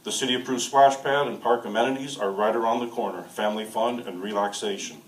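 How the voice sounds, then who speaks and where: A man's voice: on the low side, fast. A man reading a prepared opening statement as a mayoral candidate at a public forum. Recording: echoey hall from far off, faint noise.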